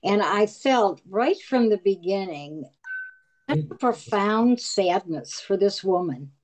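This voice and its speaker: Slow-speaking older woman on a call. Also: medium pitch, expressive, darkish tone, a little hiss or hum, poor audio. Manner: slow, thoughtful. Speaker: discussion participant. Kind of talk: casual video call discussion. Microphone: video call.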